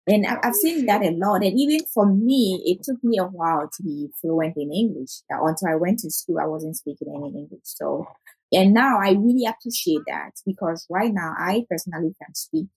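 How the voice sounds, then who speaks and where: Low husky African-accented woman, dry sound. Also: a little mellow, no echo, poor audio. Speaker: panel discussion participant. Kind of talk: conversational personal reflection.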